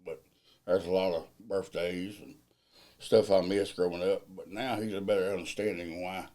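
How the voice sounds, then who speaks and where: Loud plainspoken middle-aged guy, low mellow voice, folksy and down to earth. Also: fairly even intonation, normal speed. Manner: plainspoken, folksy, unpolished grammar. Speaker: field crew general foreman. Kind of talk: casual interview answers.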